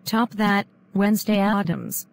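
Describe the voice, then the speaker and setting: A woman's voice: mid-range voice, a little slow, gravelly. A woman voicing a cheeky, boastful red-haired young woman in a fan-made cartoon. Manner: boastful, teasing. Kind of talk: fan animation voice acting.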